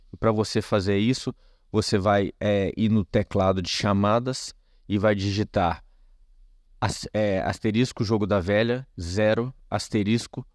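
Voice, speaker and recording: A man's voice: sits low, dry recording, clean studio sound. A man with a Brazilian accent hosting a casual step-by-step tech tutorial, explaining informally and speaking directly to viewers.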